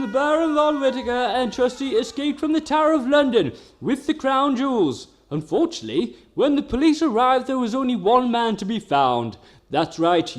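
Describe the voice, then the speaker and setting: A man playing a mock-dramatic narrator in a comic radio serial, with a theatrical storytelling delivery. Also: very high voice.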